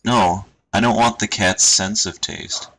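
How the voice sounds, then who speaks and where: A man streaming, chatting casually and reading posts aloud in a dry, monotone way. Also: fairly low pitch, a little slow. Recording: noisy home stream recording.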